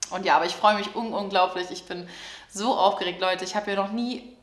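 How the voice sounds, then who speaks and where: A woman in her twenties from northern Germany, chatting excitedly with many fillers in a casual vlog. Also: medium pitch, a little fast, reverberant. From Germany, Lower Saxony near Hamburg. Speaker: vlogger. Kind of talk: casual life update vlog. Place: apartment room.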